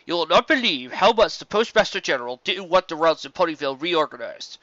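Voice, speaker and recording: A chatty, self-satisfied pony postmaster from a storybook, voiced by a reader. The speech is bright and emphatic, with lively pitch movement. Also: fairly quick, no echo, highs cut off, close to an everyday voice. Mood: proud.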